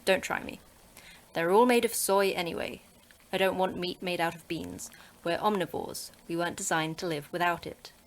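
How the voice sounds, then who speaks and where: A woman's voice: lowish voice, normal speed, natural voice. A woman playing the terse, dry-witted manager of a secret facility in a sci-fi horror audio drama.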